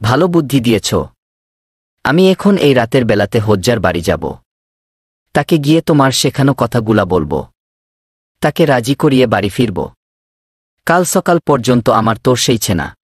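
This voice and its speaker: A man playing an earnest, scheming villager in a cartoon, with an expressive, persuasive way of talking. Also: medium pitch, moderate pace, pauses often, husky, dry recording, studio quality. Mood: eager. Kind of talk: animated cartoon dialogue.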